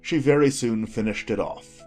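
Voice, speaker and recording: A man narrating a children's storybook from a script in an expressive style, as an audiobook reader. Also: medium pitch, normal speed, soft background music.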